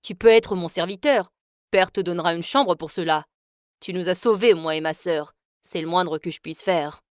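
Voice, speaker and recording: A woman's voice: on the low side, moderate pace, dry recording, clean studio sound, pitched below the performer's own voice, lightly acted. A woman voicing a bossy, protective rich boy in a storybook tale. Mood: commanding.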